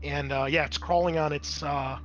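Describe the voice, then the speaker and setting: A man's voice: on the high side, steady tone, normal speed, lo-fi sound, a little hiss or hum. A man running a tabletop roleplaying game as game master, improvising scene narration and explaining dice rolls.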